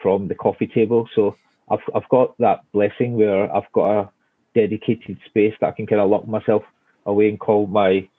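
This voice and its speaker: A man's voice: fairly low pitch, flat delivery, normal speed, no room sound. Hesitant Glaswegian man on a tinny line. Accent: Glaswegian Scottish. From Scotland. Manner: hesitant, many fillers and restarts. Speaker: guest architect. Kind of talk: casual interview answers. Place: home office at home. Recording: tinny phone-like line, dry.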